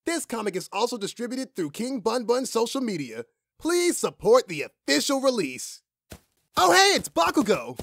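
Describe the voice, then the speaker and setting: A woman's voice: on the high side, very animated, normal speed, dry recording, clean studio sound. Woman, channel host, scripted announcement, narration.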